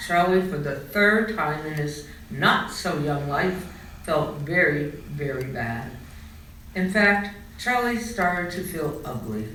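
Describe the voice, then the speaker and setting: A woman reading a children's storybook aloud in an expressive, dramatic storytelling style. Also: sits low, slow pace. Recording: echoey room, distant mic, faint noise.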